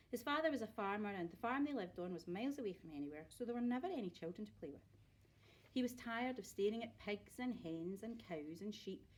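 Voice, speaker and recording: A woman's voice: medium pitch, a little mellow, slightly rough. A woman narrating a children's story aloud expressively, like a teacher reading to pupils.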